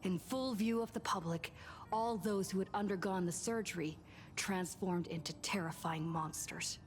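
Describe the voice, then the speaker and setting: A woman playing a composed young game heroine, narrating past events in a measured, serious scripted style. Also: fairly low pitch, natural voice. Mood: solemn, measured. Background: music.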